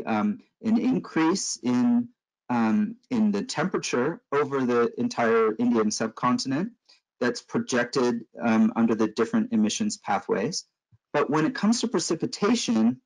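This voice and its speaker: A middle-aged man from Los Angeles with an American accent, giving a conference lecture over slides in a measured, explanatory way with frequent fillers. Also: medium pitch, poor audio. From Los Angeles, California.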